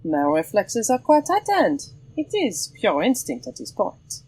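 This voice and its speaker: A woman's voice: a little high, wildly swooping pitch, unhurried, darkish tone, breathy edge, exaggerated voice. An actress playing a bold, teasing swordswoman in an audio drama, with theatrical delivery. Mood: playful, affectionate. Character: bold, teasing, sword-wielding French swashbuckling heroine.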